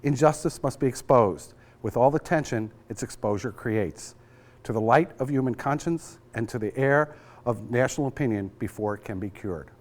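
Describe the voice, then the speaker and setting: Male reader, medium pitch, measured formal delivery of a historic letter. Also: a little mellow. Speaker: reader at a commemorative public reading. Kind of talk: reading a historic letter aloud.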